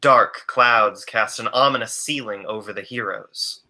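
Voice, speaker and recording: A man's voice: on the low side, unhurried, sharp tone. A man in his twenties playing the dramatic narrator of a comedic superhero radio play over a video call. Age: 20s. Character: dramatic male storyteller of a superhero serial. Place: room at home, on a video call.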